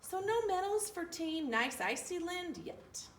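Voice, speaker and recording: Woman, high lively voice, slow singsong children's read-aloud, echoey room recorded from a distance. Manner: animated, deliberate, singsong delivery. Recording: roomy, distant mic, faint room noise.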